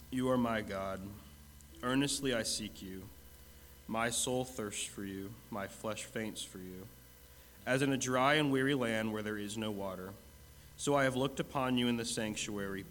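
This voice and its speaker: A man's voice: fairly low pitch, moderate pace, a bit bright, a little hiss or hum. A man with a stuffy, congested nose giving church announcements in a casual, self-deprecating way, then leading a spoken prayer. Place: church sanctuary. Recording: long hall reverb.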